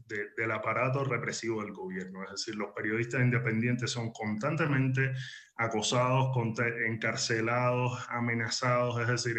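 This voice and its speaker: A Cuban man speaks as an interview guest at a medium pitch. He is earnest and articulate, building his answers in explanatory phrases and restating openings to drive a point home. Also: fairly bright tone. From Cuba. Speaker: interview guest, exiled journalist and editor.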